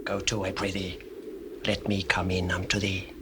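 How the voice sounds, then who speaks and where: An actor playing a stern, solemn biblical patriarch in a theatrical scripture drama. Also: male voice, low-pitched, completely flat delivery, moderate pace, lightly acted. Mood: low, coaxing. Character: Judah, stern biblical patriarch and father-in-law. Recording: hissy old cassette tape, birdsong behind.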